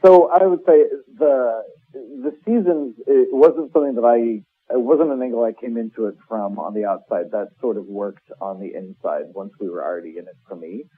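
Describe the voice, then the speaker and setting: Casting: male playwright interviewee, reflective and self-correcting, husky-edged voice over a narrow phone-like connection. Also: medium pitch, normal speed. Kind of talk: casual interview conversation. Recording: muffled phone-like line.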